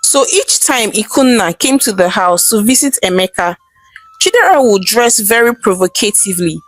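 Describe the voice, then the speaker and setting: Lively woman storyteller over soft music. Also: mid-range voice, normal speed, dry recording. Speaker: storyteller narrator. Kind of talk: scripted folktale narration, dramatic storytelling.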